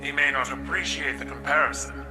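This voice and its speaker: An actor playing a proud, blunt alien warrior in a video game, formal and matter-of-fact. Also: male voice, medium pitch, fairly even intonation, fairly quick, natural voice. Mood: dry, amused respect. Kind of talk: dramatic video game dialogue. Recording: music behind the voice.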